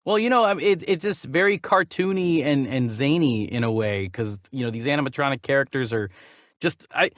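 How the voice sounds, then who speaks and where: A man's voice: mid-range voice, animated, brisk, no echo, clean studio sound. A man co-hosting a movie news podcast, talking casually and conversationally, sometimes reading news items aloud. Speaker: podcast co-host and voice actor.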